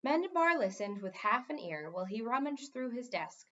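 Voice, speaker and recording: A woman narrates a storybook in an expressive read-aloud style. Her voice is on the high side and moves freely in pitch. The recording sounds a little dull. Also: moderate pace.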